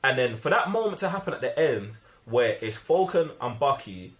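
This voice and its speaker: A man's voice: medium pitch, very animated, moderate pace, fairly bright tone. A man with a London British accent chatting casually and enthusiastically about films, full of slang and repeating himself for emphasis. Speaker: film review panellist. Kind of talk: casual film chat.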